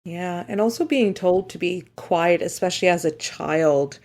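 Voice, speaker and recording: A woman co-hosting a singing podcast, earnest and explanatory in tone. Her speaking voice sits a little low for a woman. She shifts from a prepared introduction into easy chat. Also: moderate pace, darkish tone. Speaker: podcast co-host. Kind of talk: scripted intro, then casual chat.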